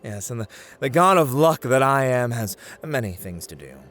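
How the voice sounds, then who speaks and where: A man's voice: mid-range voice, big pitch swings, normal speed, a bit bright. A man playing a haughty, playful god of luck in an ASMR roleplay, speaking in a theatrical, teasing, formal way that drifts into whispers. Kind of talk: ASMR roleplay, scripted dramatic monologue.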